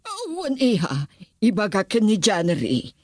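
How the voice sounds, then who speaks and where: An actress playing a doting, scheming middle-aged auntie in a radio drama, with a husky voice. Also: female voice, medium pitch, huge pitch swings, unhurried, darkish tone. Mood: indulgent.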